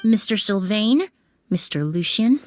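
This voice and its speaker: A woman's voice: mid-range voice, animated, darkish tone, soft background music, lightly acted. A woman playing a composed, refined young investigator in a video game, speaking precisely and formally. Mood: composed, probing. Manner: precise, formal, measured delivery.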